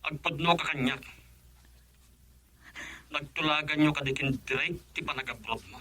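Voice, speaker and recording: A man's voice: on the high side, fairly even intonation, normal speed, pushed and bright, breathy edge. A man playing a bitter, jealous young husband whose wife has left him, in a radio drama. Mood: bitter, accusing.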